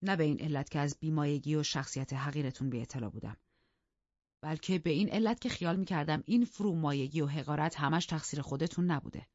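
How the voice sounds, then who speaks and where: A woman's voice: fairly low pitch, animated, dry recording. Stern posh husband type done by a woman, indignant and formal, audiobook style, bit muffled. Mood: coldly controlled. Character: indignant gentleman husband, stern, haughty and refined.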